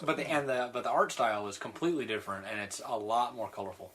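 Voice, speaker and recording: A man's voice: mid-range voice, lively intonation, fast, a bit bright. A man co-hosting a podcast, chiming in casually and agreeing with the others. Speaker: podcast co-host.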